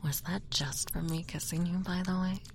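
A woman in an intimate audio roleplay, speaking in a soft, breathy whisper that stays slow and close. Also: lowish voice. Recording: steady background hiss.

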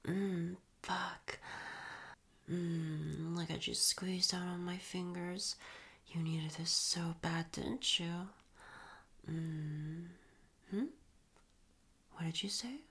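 A young adult woman performing an intimate audio roleplay in a soft, teasing whisper with breathy murmurs and quiet chuckles. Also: fairly low pitch, steady tone, extremely slow, pauses often.

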